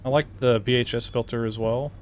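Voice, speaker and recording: A man gives casual gameplay commentary in a middle-pitched voice. He is laid-back and a little halting as he thinks aloud, with faint noise and game ambience behind him. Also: steady tone. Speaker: game reviewer and player.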